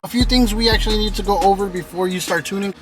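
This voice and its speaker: A man's voice: sits high, fast. A man giving a casual, chatty how-to talk about tuning his truck's speakers, recorded inside the truck. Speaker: car audio vlogger. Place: inside a truck with the stereo playing. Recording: loud music behind the voice. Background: car stereo music playing.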